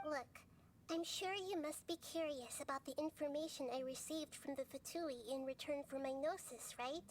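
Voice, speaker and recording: High girlish voice, woman acting childlike game deity, composed, enigmatic, articulate, thoughtful, faint background noise. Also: normal speed, natural voice. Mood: grave, deliberate. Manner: articulate, thoughtful, gently formal. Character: small childlike female god, wise, composed and enigmatic. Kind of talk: video game voice acting, measured explanatory dialogue.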